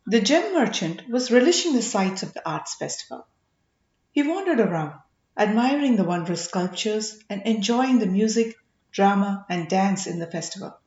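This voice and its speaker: A woman reading a story aloud as scripted audiobook narration, in an expressive, measured manner. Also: mid-range voice, roomy sound, muffled recording. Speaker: audiobook reader.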